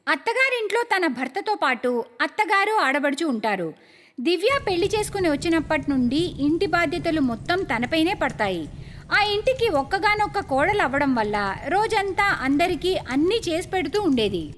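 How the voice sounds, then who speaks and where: A woman's voice: extremely high pitch, sing-song, fairly quick, fairly bright tone, a little hiss or hum. A woman reading scripted narration for a cartoon story.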